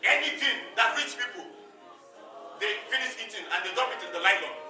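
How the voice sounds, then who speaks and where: High-voiced Nigerian orphan turned street hustler. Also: male voice, animated, fairly quick, pushed and bright, exaggerated voice. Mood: animated, wry. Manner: dramatic, emphatic, repeats phrases for effect. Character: animated orphaned street-hustler man recounting his hard life. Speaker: stage actor. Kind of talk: theatrical stage monologue storytelling. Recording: echoey stage far from mic, music.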